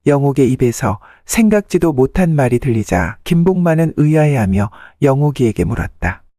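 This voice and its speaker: A man's voice: mid-range voice, sing-song, normal speed, dark tone, dry recording, studio quality. A man narrating a folktale from a script as the storyteller.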